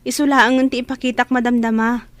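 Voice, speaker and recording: High-voiced, earnest young woman played by an actress. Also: little pitch movement, a little fast, no echo, lightly acted. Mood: warm, reassuring. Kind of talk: Ilocano radio-style audio drama acting.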